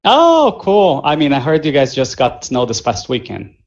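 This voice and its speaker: A man from Brazil with a Brazilian Portuguese accent, an admissions director answering questions at a measured pace with many fillers over a video call. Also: mid-range voice, huge pitch swings. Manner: measured, with frequent fillers and restarts. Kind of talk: answering questions in a virtual info session. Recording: muffled lo-fi video call audio.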